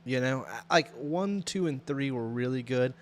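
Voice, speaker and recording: A man's voice: mid-range voice, moderate pace. A man with an American accent chatting casually as a podcast co-host, rambling through a TV show review with slangy emphasis.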